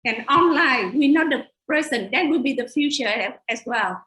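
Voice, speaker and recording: A woman's voice: sits high, moderate pace, pushed and bright, roomy sound, a little hiss or hum. A woman from Vietnam with a Vietnamese accent, a native Vietnamese speaker and teacher educator, giving an earnest webinar slide presentation over a video call.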